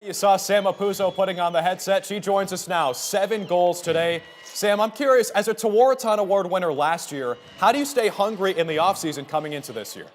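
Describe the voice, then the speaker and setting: A man's voice: high-pitched, fairly quick, few pauses. A man in his early twenties working as a sports broadcaster, with polished commentary and reporting. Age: early 20s. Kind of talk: play-by-play commentary, interviews and studio reporting.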